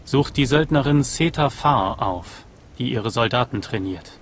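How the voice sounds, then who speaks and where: A man's voice: medium pitch, animated, measured pace, a little hiss or hum, natural voice. Man acting a solemn alien cult master. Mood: solemn, stern. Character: grave male alien master testing a disciple. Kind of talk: video game cutscene acting.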